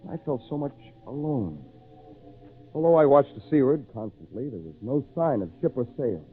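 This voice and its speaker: An actor playing a lonely, earnest castaway in an old radio drama, with theatrical, deliberate phrasing. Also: male voice, medium pitch, sing-song, breathy edge, faint music behind. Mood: brooding, hopeless. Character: lonely castaway man, brooding, earnest, excitable. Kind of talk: old time radio drama narration and acting. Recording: tinny, noisy old radio recording.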